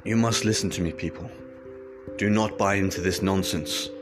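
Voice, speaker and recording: An actor playing a stern state announcer who issues threats and slow, commanding slogans. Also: male voice, deep voice, fairly even intonation, over loud music, close to an everyday voice. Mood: dismissive. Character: stern male state propaganda announcer, menacing.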